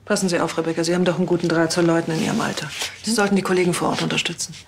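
A woman playing a polite, businesslike police boss in a TV crime drama, smoothly dismissive. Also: fairly low pitch, steady tone, very fast, background noise, natural voice.

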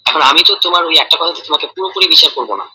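A man with a Bangladeshi accent in a private, casual phone call, speaking in a hesitant, halting and defensive way on a tinny recording. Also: a little high, sharp tone, husky. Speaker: caller in a private phone conversation.

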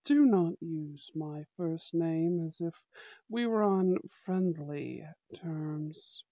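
Woman as a slow-talking, haughty young school prince. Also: deep voice, lively intonation, no room sound, dull audio, voice pushed down, full character voice. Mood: cold, contemptuous. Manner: haughty, formal, commanding. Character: young male bad-boy school prince, protective, aloof. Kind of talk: amateur ASMR audio roleplay acting.

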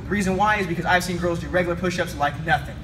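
Young guy coaching chest day, quick and high-ish voice, camera mic a few feet off in a noisy gym. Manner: energetic, coaching while lifting. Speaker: fitness coach. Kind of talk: workout instruction. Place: indoor weight room. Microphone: camera mic from a few feet away. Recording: distant camera audio with room noise.

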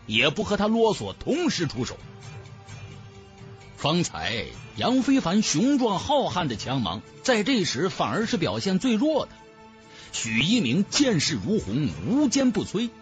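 Highly animated, high-pitched male audiobook narrator. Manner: theatrical, emphatic delivery. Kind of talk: dramatic scripted narration of a fantasy novel.

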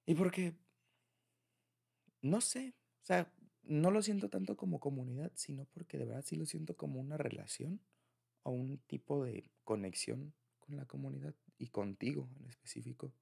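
Young adult man, Mexican accent, gravelly husky voice, intimate boyfriend-roleplay chat, informal, slangy. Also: a little high, normal speed, mellow. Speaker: audio roleplay creator speaking to a partner.

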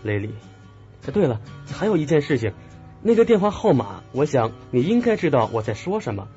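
A man's voice: mid-range voice, very animated, a little fast, dark tone, close to an everyday voice. A man playing an earnest, dutiful young hero in love in a radio drama. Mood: tender, resigned. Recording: dull lo-fi audio, faint music.

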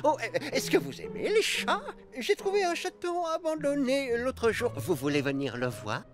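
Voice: medium pitch, wildly swooping pitch, moderate pace, over music. An actor playing a sly, falsely sweet male kidnapper, a blustering villain in a French children's cartoon dub. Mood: coaxing.

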